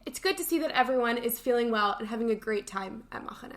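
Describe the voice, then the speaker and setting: Young female podcast co-host, slightly high voice, announcements and easy banter. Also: animated, fast talker. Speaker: co-host of a camp morning show podcast.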